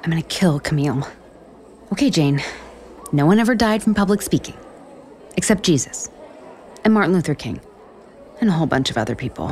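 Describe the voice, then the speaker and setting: An actress playing a flustered, self-deprecating author in a romantic comedy audio drama, with an American accent and halting, deadpan asides. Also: female voice, sits low, noisy background. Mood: nervous muttering. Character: flustered, sardonic, self-deprecating woman author on book tour.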